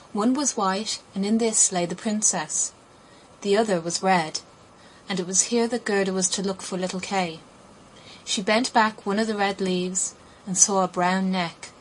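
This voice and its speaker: A woman reading a fairy tale as an audiobook narrator, in an expressive storytelling manner. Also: mid-range voice, low-quality recording. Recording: clear background noise.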